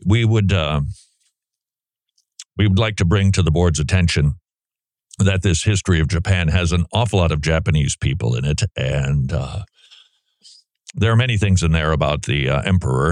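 A man hosting a radio talk show, delivering animated, mocking commentary and reading passages aloud. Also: a bass voice, dry recording, studio quality. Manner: animated, mocking, sarcastic asides.

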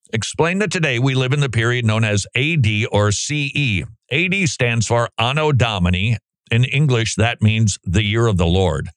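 A man reads a text aloud and breaks off for sarcastic, incredulous asides. His voice sits low but swoops widely, and the recording is dry and close. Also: no room sound. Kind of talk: reading a text aloud and reacting.

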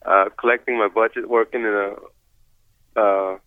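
A man's voice: fairly low pitch, steady tone, moderate pace, no room sound. A young man from Korea who answers questions hesitantly, in short replies with fillers. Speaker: interviewee on the street. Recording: dry tinny phone-like line.

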